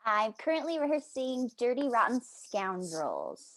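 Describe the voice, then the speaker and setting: High, animated woman chatting. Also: measured pace. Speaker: actress on a cast panel. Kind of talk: casual chat.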